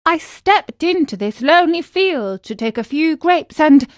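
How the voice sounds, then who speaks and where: A woman's voice: sits high, lively intonation, measured pace, no room sound, clean studio sound, voice pushed up. A woman voicing a frightened, tearful young wooden puppet boy from a children's storybook, wailing and pleading. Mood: sheepish.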